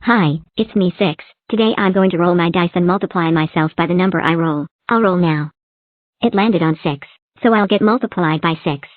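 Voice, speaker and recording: Bubbly number-block cartoon kid voiced by a woman, lowish, tinny dry sound. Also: moderate pace, no room sound, close to an everyday voice. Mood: cheery. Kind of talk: fan-made cartoon voicing. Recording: tinny phone-like dry recording.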